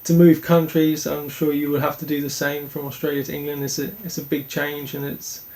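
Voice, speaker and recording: A young man from Denmark, a speedway rider answering interview questions in an earnest, halting way with many restarts. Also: a little high, completely flat delivery, vocal fry, warm tone.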